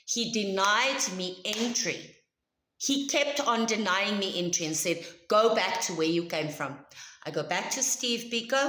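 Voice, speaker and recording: A woman with a South African accent whose first language is Afrikaans tells a personal story to camera. She speaks loudly and emphatically in a chatty way. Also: medium pitch, echoey room. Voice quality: loud voice. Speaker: vlogger.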